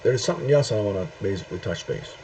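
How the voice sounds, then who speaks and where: A middle-aged man from Turkey, speaking a halting opinion monologue to camera with many pauses and "uh"s. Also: fairly low pitch, expressive, warm tone. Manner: halting, searching for words with frequent "uh" and "um" pauses. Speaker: video host. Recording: dull lo-fi sound with faint noise.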